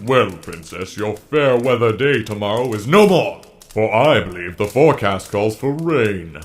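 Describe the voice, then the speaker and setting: Slow, vengeful old wizard. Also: male voice, on the low side, animated. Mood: scornful scheming. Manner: theatrical incantations, muttering and gloating. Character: bitter, scheming old male wizard, grandly vengeful. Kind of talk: audio drama acting. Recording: noisy hobby recording.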